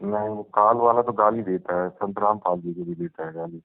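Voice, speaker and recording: Low-voiced man calling on a tinny phone. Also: expressive, moderate pace, gravelly. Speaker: caller seeking religious advice. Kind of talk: phone conversation, short replies. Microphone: telephone. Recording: tinny phone call recording.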